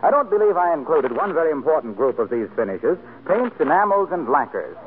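A man's voice: fairly high pitch, very animated, fairly quick, phone-quality sound. A man announcing from a script in the style of 1940s radio. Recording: noisy, thin 1940s radio broadcast.

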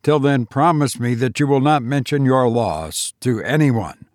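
A man voicing a brooding, fearful lover in an audiobook, grave and halting but tender. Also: medium pitch, dry recording, clean studio sound, natural voice. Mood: grave, insistent. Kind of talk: audiobook character voice.

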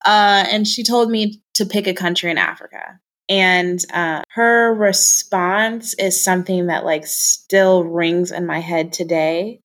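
A woman's voice: lowish voice, steady tone, slow, fairly bright tone. A young woman telling personal stories as an interview guest in a casual, candid and reflective way.

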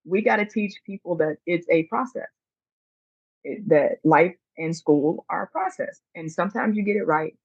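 A woman's voice: fairly low pitch, normal speed, a little mellow, husky, no echo, highs cut off. A woman math teacher speaking earnestly and emphatically on a panel discussion, telling stories about her students.

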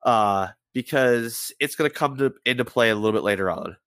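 A man's voice: medium pitch, brisk, a bit bright, clear, resonant tone, dry recording. A man with a head cold hosting a casual podcast, rambling through a story and stumbling over names as he swears offhandedly. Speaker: podcast host.

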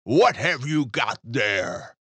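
A man voicing a vigorous gray-haired old man, a frost spirit in a storybook, speaking deliberately and emphatically. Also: medium pitch, big pitch swings, fairly bright tone, breathy edge, no echo, heavily acted. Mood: bargaining, firm.

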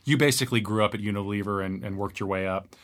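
A man hosting an interview podcast, asking questions in a measured, articulate way. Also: fairly low pitch, a bit bright.

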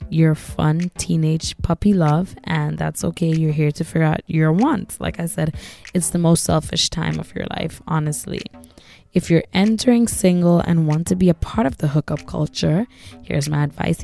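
Low, husky-voiced young woman podcaster. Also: warm tone. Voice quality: husky, raspy. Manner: casual, chatty advice-giving, frequent "like". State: losing her voice. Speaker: podcast host. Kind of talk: solo podcast monologue.

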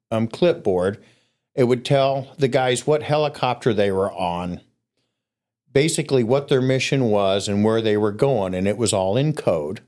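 Podcast guest: man, lowish voice, relaxed rambling storyteller, a bit coughy. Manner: relaxed, rambling with fillers and restarts. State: coughing, throat scratchy.

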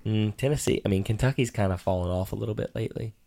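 A man's voice: on the low side, fast, vocal fry, dark tone, no echo, clean studio sound. A middle-aged man, a father co-hosting a casual chat about game picks, patient and dryly teasing in a matter-of-fact way.

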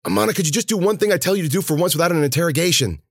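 A man voicing a bossy, urgent girl who snaps sharp, exasperated commands in an audiobook story. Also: low-pitched, huge pitch swings, normal speed, husky, no room sound, studio quality, exaggerated voice. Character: bossy, urgent girl snapping at her friends.

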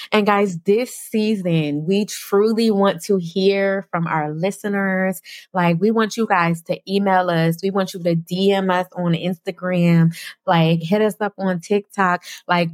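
A woman's voice: on the low side, no room sound. A young adult woman co-hosting a podcast, chatting casually in slang and laughing often. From New Jersey. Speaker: podcast co-host.

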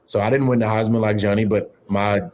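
A man in his late thirties, a guest in an interview, telling his story in an energetic, motivational way with bursts of emphasis. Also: on the low side, fast talker. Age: late 30s. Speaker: guest, entrepreneur.

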